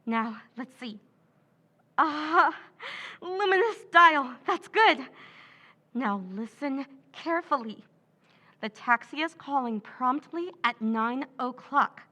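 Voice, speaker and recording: An actress playing Pamela, a cold, commanding woman in an old-time radio drama who gives clipped orders and plots in hushed tones. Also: sits high, lively intonation, slow pace, exaggerated voice. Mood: hushed, plotting. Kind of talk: 1940s radio drama acting.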